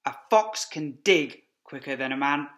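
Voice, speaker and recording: A reader voicing Mister Fox, a brave, quick-thinking male fox who leads his family, speaking with urgent, commanding shouts in a storybook reading. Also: medium pitch, lively intonation.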